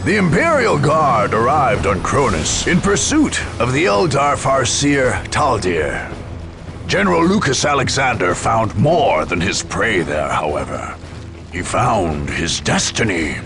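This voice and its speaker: Man narrating gravely, gravelly and dramatic. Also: mid-range voice, big pitch swings. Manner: grave, portentous, measured storytelling. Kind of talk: scripted dramatic narration for a war game's story cutscenes. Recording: dramatic music behind the voice. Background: music.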